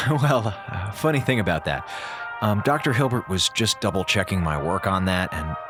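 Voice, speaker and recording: Flippant space officer, very animated. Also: male voice, low voice, normal speed, music in the background. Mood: sheepish. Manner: colloquial, hesitant, flippant. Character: laid-back, wisecracking male space communications officer. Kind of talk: audio drama acting.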